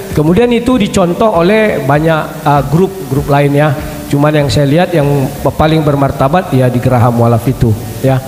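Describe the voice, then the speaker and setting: A middle-aged man with a cold introduces himself as a debater, speaking emphatically and clearing his throat often. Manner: emphatic, rising pitch, frequent throat clearing. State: has a cold, coughing. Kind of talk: self-introduction at a live debate. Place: large echoey hall. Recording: echoey hall with long reverb.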